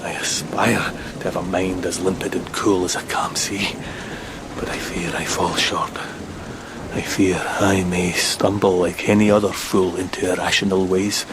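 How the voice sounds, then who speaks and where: Cool sardonic ship officer in horror radio play, lower voice, noisy rain behind. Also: male voice, little pitch movement. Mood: musing, fearful. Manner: sardonic, measured, musing. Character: cool, sceptical, philosophising ship's officer. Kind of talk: gothic horror audio drama acting. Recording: rain and ship ambience noise.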